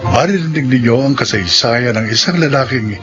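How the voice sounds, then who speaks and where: A man's voice: mid-range voice, animated, a little fast, fairly bright tone, close to an everyday voice. A man narrating as the solemn announcer of a Filipino radio drama. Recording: dull lo-fi audio under music.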